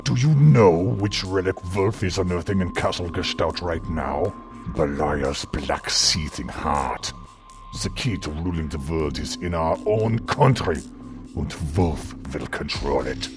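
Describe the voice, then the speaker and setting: Casting: condescending military zealot villain for a game. Extremely low voice, grandiose menace, scored with music. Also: male voice, unhurried. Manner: pompous, condescending. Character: arrogant, menacing Nazi officer villain, fanatical about occult relics. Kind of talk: video game cutscene acting. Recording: game audio with music behind.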